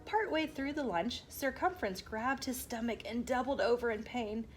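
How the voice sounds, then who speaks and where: A woman reading a children's picture book aloud as an expressive storyteller. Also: fairly high pitch, moderate pace, faint music behind.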